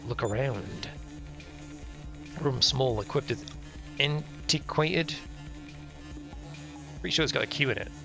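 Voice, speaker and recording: A man streaming a visual novel, reading the text aloud with irreverent, offhand asides. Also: mid-range voice. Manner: irreverent, ad-libs jokes and mutters reactions. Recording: music playing behind the voice. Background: game music.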